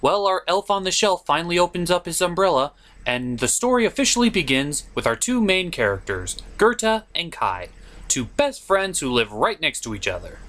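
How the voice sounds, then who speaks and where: A man's voice: on the high side, big pitch swings, normal speed, a bit bright. A man hosting a comedic film review, narrating with theatrical, exaggerated delivery. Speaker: show host and reviewer.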